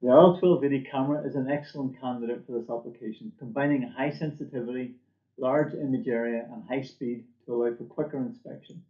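A man's voice: mid-range voice, fairly even intonation, brisk. Man presenting in a muffled, echoey room. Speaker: company presenter. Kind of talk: scripted product presentation. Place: small indoor office or lab room.

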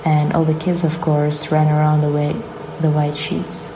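A woman from Ukraine slowly and deliberately reading a prepared statement about her artwork. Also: deep voice, monotone, noisy background. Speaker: exhibiting artist. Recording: noticeable background ambience.

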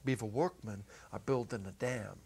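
A middle-aged man giving an expressive dramatic reading of a literary short story, with deliberate pauses. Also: on the low side, vocal fry, slightly rough. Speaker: narrator, reader. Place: indoor set, seated at a music stand. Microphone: clip-on lapel.

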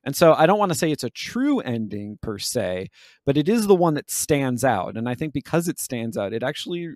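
A man's voice: mid-range voice, darkish tone, no echo, studio quality. A man co-hosting a podcast, talking through ideas in a casual but academic, reflective way. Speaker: podcast host. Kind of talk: casual analytical discussion.

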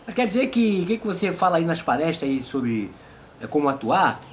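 A Brazilian man in his fifties with a fairly high voice hosts a casual comedy interview. He chats informally, with faint noise in the background. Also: sing-song, moderate pace. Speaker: host and puppeteer.

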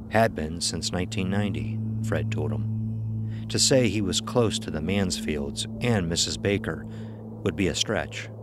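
A man narrating a scripted horror story in a measured, ominous manner. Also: sits low, noisy background.

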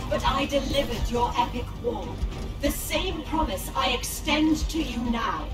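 An actress playing a calm, commanding alien seer in a video game cutscene, speaking in a measured, persuasive way. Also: female voice, sits high. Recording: roomy, distant voice under loud music.

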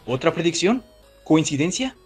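A man's voice: a little high, very animated, moderate pace, breathy edge, soft background music. A man hosting a video with casual scripted commentary, chatting directly to his viewers. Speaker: YouTube host.

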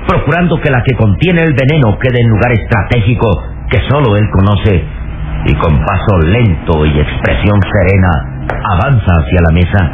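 A man playing the solemn narrator of a suspense radio serial, measured and dramatic. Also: lowish voice, expressive, background noise, close to an everyday voice. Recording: tinny old radio recording, loud music.

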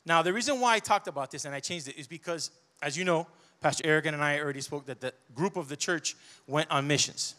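A man in his forties delivers a church sermon into a handheld microphone. His voice is bright and forceful, and he is set a little high for a man. His delivery is conversational and animated, full of personal asides. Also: quick pace. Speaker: preacher. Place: church stage. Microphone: handheld mic.

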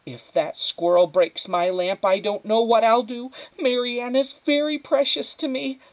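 Woman as a shrill, flustered storybook mother. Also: fairly even intonation, measured pace, poor audio. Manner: exclamatory, gushing. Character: flustered, theatrical suburban mother, fussy and shrill.

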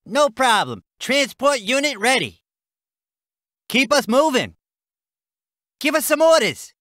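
High-pitched brash American army trucker. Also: male voice, sing-song, slow pace, bright, forceful tone, no room sound, studio quality, full character voice. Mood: eager, ready. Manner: punchy, clipped, slangy soldier delivery. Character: brash US Army transport truck driver, male. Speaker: game unit voice actor. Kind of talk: video game unit barks.

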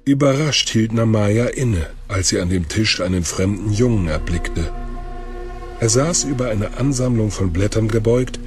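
Male narrator for fantasy audio play; lowish pitch, literary storytelling, music bed. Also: darkish tone. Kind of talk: scripted narration of a German fantasy audio drama.

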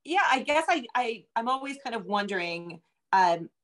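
A woman's voice: on the high side, sing-song, moderate pace, fairly bright tone. A middle-aged American woman talking casually as an interview guest on a video call, thinking aloud as she goes. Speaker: guest novelist. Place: indoor room at home, video call.

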